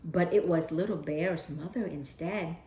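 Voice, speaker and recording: A woman's voice: lowish voice, measured pace, dark tone. A woman storyteller reading a children's picture book aloud. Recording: roomy echo.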